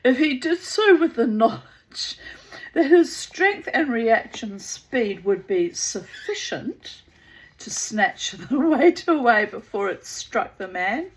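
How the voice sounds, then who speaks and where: A woman with a New Zealand accent reading a cool, measured female robot psychologist in a storybook, explaining firmly. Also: fairly high pitch, big pitch swings, voice pushed up, rough recording.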